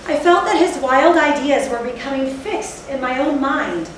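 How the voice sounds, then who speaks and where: A high-pitched, fearful Gothic storyteller acted by a woman speaks with deliberate, dramatic phrasing. The voice comes from far off in a very echoey theatre, with faint noise. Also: animated. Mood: dread. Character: haunted, fearful narrator recalling a night of horror. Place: theatre stage, recorded from a distance. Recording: echoey hall, distant mic, faint noise.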